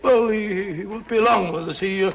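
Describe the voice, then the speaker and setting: An actor playing a loyal, blustering English doctor sidekick with a mumbling, slightly pompous British delivery in 1940s film style. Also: male voice, sits high, moderate pace, creaky, highs cut off. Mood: genial, self-important. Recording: noisy 1940s film soundtrack.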